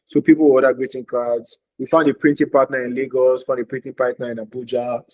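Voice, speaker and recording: A man's voice: medium pitch, level tone, fairly quick, a little mellow, rough recording. A man, earnestly telling his story as a podcast guest, repeating phrases for emphasis. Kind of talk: casual podcast interview.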